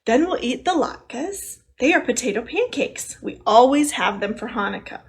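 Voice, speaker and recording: High-voiced woman reading to children. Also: lively intonation, normal speed, vocal fry. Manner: chatty, simple child-directed delivery. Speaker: storytime host. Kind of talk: children's picture-book read-aloud with casual asides.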